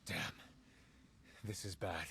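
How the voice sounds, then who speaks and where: A man's voice: slow, sharp tone, slight background noise. Earnest, conflicted male agent. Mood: frustrated, remorseful. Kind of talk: dramatic video game dialogue.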